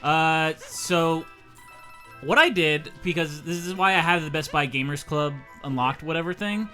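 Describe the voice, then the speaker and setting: A man's voice: a little high, measured pace, bright, forceful tone, soft background music. A man co-hosting a gaming livestream, chatting casually and telling anecdotes over the gameplay. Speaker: livestream co-host.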